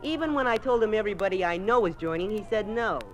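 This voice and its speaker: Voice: mid-range voice, expressive, quick pace, highs cut off, a little hiss or hum, lightly acted. An American performer playing a sulky, defensive schoolboy in a 1950s radio drama. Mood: sullen, defensive. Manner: defensive, sullen. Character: sulky, touchy schoolboy, kept from joining friends.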